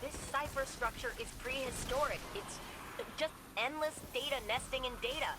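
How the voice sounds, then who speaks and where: An actress playing a wry, determined, tech-savvy field leader in a video game, with a dry, informal delivery. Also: female voice, high-pitched, moderate pace, noisy background, close to an everyday voice. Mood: wry, focused. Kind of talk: scripted video game voice acting.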